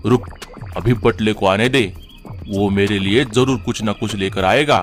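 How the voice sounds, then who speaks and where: A man voicing a gruff greedy vulture boss. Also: lowish voice, unhurried, faint music behind, natural voice. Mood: scolding. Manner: rude, barking orders at underlings. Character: greedy bossy male vulture chief. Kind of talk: cartoon voice acting.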